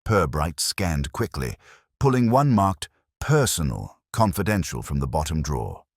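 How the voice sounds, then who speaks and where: A man narrating a mystery audiobook in a measured, literary storytelling manner. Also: very deep voice, big pitch swings, no echo, studio quality.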